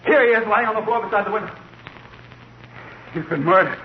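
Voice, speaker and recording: A man's voice: high voice, animated, fairly quick. A man playing an earnest, anxious doctor and scientist in a 1940s radio suspense drama. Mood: alarmed. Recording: muffled, noisy 1940s radio recording.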